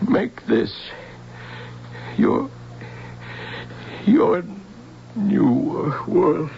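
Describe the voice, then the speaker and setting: A weary man of a ruined world speaks very slowly and haltingly, with gravel in a fairly high voice. It is solemn 1970s radio drama on a dull, faintly humming old recording. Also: very animated, a little hiss or hum, heavily acted.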